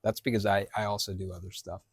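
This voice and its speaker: A man's voice: lowish voice, level tone, fast talker, husky, no room sound, clean studio sound. A man hosting a casual outdoor interview under an eave in the rain, chatty and hesitant, with lots of fillers and restarts. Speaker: host and app maker.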